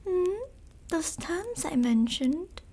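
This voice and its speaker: A woman's voice: extremely high pitch, expressive, mellow, full character voice. A woman playing a sadistic, possessive vampire noblewoman in an ASMR roleplay, teasing and archly courteous, at times slipping toward a whisper. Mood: seductive, teasing. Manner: slow, teasing, mock-courteous, archly formal, drifting into whispers. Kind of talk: ASMR roleplay, intimate scripted monologue.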